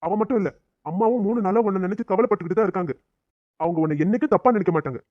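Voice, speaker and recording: A warm-hearted, brotherly auto driver, acted by a man, in an animated drama. His voice is on the high side and he fires off his lines very fast. The recording is a bit dull and dry. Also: dry recording, natural voice. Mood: gentle advice. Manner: brisk, big-hearted scolding.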